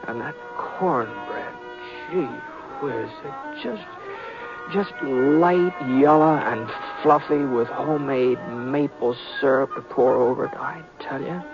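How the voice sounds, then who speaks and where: An actor playing an easygoing, polite American cowboy in an old-time radio Western, talking in a hesitant, folksy way. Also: male voice, mid-range voice, slow pace. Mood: appreciative, teasing. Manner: hesitant, folksy, repeats himself. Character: easygoing, polite, teasing cowboy gunman with hearty appetite. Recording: dull old radio recording with music.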